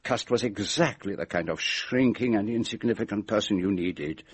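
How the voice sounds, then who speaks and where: Shrewd radio-drama detective: low, husky voice, formal, methodical, accusatory delivery. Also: male voice, normal speed. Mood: accusing, deliberate. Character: shrewd, methodical male detective laying out his case. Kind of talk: radio mystery drama acting.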